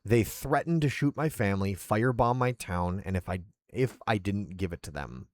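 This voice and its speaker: A man's voice: lowish voice, lively intonation, normal speed, no echo, clean studio sound, natural voice. A man reading as a weary wounded doctor. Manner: quiet, weary pleading. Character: exhausted, wounded male doctor, quietly sad.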